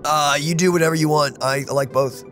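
A man giving casual, conversational commentary as host of a video game playthrough, in an animated way. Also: a little high, brisk. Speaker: let's play host. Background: game music.

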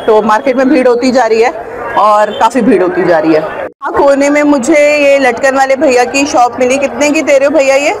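A woman's voice: high voice, normal speed, rough recording. A woman vlogging a street market tour, chatting casually and asking vendors their prices. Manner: chatty, asks vendors prices and repeats them to viewers. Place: busy outdoor street market. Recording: loud crowd noise of a busy market.

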